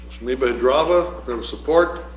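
A man chairing a city council meeting as mayor, calling motions and votes in a brisk, routine manner. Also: medium pitch. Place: council chamber, large room. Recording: echoey council chamber with background noise.

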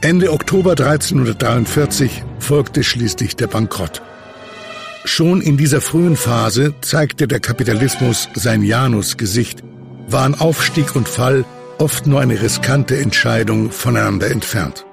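A man narrating an audio drama from a script in a measured, grave, literary manner. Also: medium pitch, gravelly, over music.

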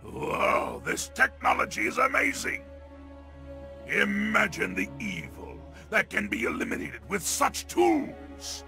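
An actor voicing a loyal, grateful hulking super mutant in a video game, with a gravelly, booming voice and formal, eloquent speech. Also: male voice, huge pitch swings, takes it slowly, bright, forceful tone, soft background music, exaggerated voice. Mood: amazed. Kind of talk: video game character acting.

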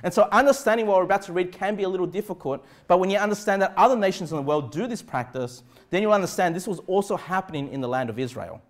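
A middle-aged man with an Australian accent delivers a sermon in a high-pitched voice. He speaks as a pastor, emphatic and conversational, frequently tagging his points to check listeners are following. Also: animated, quick pace, hardly any pauses. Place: indoor church pulpit in a small room. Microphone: clip-on lapel mic.

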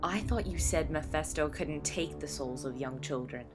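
A woman's voice: low voice, normal speed, natural voice. An actress playing a steely, confrontational mother and reporter in an amateur audio drama. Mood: shaken, questioning. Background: clear background music.

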